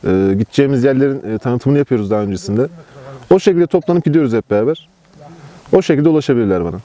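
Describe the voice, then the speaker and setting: Fast-talking mellow young man. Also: mid-range voice, lively intonation. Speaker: camping group founder and organizer. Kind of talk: casual interview to camera. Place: outdoors at a campsite.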